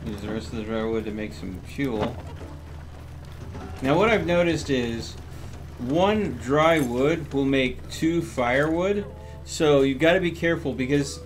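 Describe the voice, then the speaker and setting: A man's voice: medium pitch, a little slow, faint music behind. A man streaming a video game, giving casual commentary as he talks to himself and chats to viewers.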